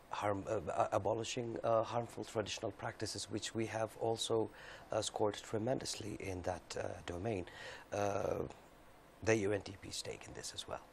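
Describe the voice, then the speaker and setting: A middle-aged man puts formal questions as a TV interviewer in a fairly low, gravelly voice. He is halting and often repeats words as he builds a question. Faint noise sits behind him in the studio. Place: indoor TV studio. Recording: faint steady background noise.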